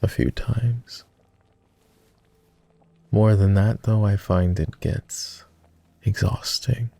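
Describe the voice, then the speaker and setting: A man playing a flirty, courtly vampire aristocrat in an intimate roleplay ASMR, with refined, formal, teasing speech in a low, gravelly murmur. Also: fairly even intonation, extremely slow, mellow, no room sound, exaggerated voice. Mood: weary. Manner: refined, formal diction with playful teasing and sighs.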